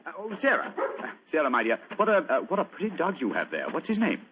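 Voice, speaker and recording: A man playing a shrewd, courteous gentleman detective in a radio drama, formal and theatrically authoritative. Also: fairly high pitch, huge pitch swings, fast talker, background noise. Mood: awkward coaxing. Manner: hesitant coaxing, formal explaining. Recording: muffled, lo-fi old radio recording.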